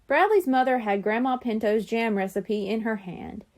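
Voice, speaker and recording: A woman reading a children's chapter book aloud in an expressive storytelling manner. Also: a little high, moderate pace. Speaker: audiobook reader.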